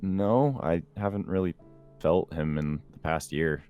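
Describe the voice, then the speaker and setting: Unsure male dragon slayer played by a man: very low, hesitant casual delivery, fantasy game, faint music. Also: unhurried, warm tone, clear, resonant tone, dull audio. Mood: uneasy, unsure. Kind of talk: improvised fantasy game roleplay.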